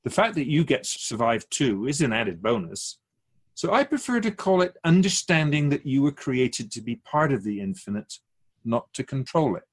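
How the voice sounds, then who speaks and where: A man playing a wise otherworldly keeper of souls in a radio drama, measured and didactic, with gentle, wry teasing. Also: mid-range voice, big pitch swings, husky. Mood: gently chiding. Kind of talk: conversational radio drama acting.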